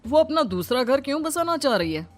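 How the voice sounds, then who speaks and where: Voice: high voice, big pitch swings, a little fast. A performer voicing a concerned, puzzled husband in a cartoon story, with a questioning manner. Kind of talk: Hindi cartoon story dubbing. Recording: music behind the voice.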